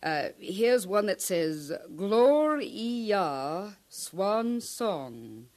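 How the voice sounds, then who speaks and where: Earnest scholar-translator, slow and halting, widely swooping pitch, 1950s radio play. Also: male voice, very animated, heavily acted. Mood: puzzled. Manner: halting, deliberate. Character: scholarly translator of ancient glyphs, earnest and puzzled. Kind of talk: 1950s radio drama acting.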